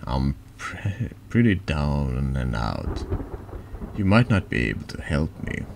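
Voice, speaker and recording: A man plays a brooding, cynical man in a confessional from a horror game. His voice is extremely low and creaky, polite and very measured, with slow delivery and secretive weight. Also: big pitch swings, a little hiss or hum, exaggerated voice. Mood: downcast, hesitant.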